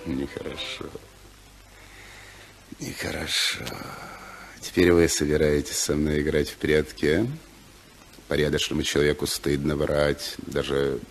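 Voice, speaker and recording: An actor playing a sly, oily newspaperman offering bribes in a 1950s radio play, with insinuating, theatrical, drawn-out phrasing. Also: very deep voice, flat delivery, slight background noise. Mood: sly, reproachful. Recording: roomy 1955 radio recording, faint music.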